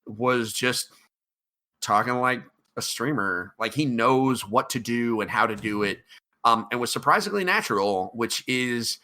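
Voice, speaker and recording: A man's voice: medium pitch, animated, a little slow, sharp tone. A middle-aged man hosting a casual podcast chat, rambling with many ums and restarts. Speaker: podcast host.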